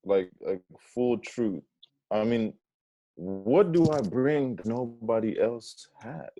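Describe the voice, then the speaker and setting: A man's voice: medium pitch, expressive, slow pace, dark tone, dry recording, poor audio. A man acting a drunk, earnest yet exasperated young best friend in a comedic table read, dry and conversational. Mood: earnest tipsy.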